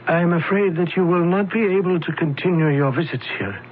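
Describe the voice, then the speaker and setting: A man's voice: fairly high pitch, a little fast, a little hiss or hum, lightly acted. An actor playing a polite, regretful film company man in a radio drama. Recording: old radio broadcast, phone-like.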